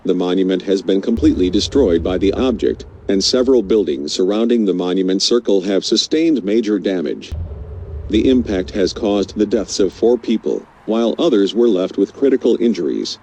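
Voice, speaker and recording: A man playing a radio news anchor, reading a scripted crisis bulletin in a formal, measured way. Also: low voice, lively intonation, warm tone, slight background noise. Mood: grave, formal. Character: male radio news anchor covering an unfolding invasion crisis.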